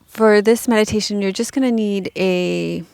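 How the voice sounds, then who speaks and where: A woman leading a guided meditation as a teacher, speaking calmly and gently in measured instructions with pauses. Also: medium pitch, vocal fry, clear, resonant tone, dry recording.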